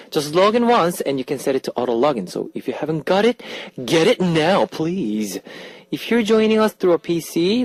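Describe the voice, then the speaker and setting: A man's voice: fairly high pitch, no room sound. A man who grew up in Australia, hosting a radio show, reading listener messages and chatting with his co-host. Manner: upbeat, reads listener messages and station announcements.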